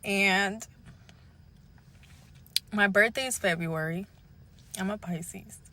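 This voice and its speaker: Tearful woman in her late 20s, bright tone, quiet confiding read. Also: mid-range voice, slow pace. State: close to tears.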